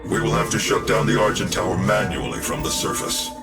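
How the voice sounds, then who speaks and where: Male actor as cyborg science chief, very deep, stern, measured, authoritative, video game cutscene, loud music. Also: fairly even intonation, natural voice. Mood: grave, instructive.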